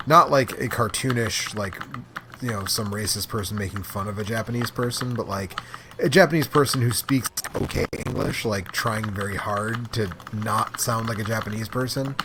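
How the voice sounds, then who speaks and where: A man with a fairly low voice hosts a casual gaming session. He talks in loose, halting asides as he plays. The recording is clearly noisy, with clicks and typing behind him. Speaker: host playing an arcade game. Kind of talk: casual gaming chat and commentary. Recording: clearly noisy room, clicking controls and keys.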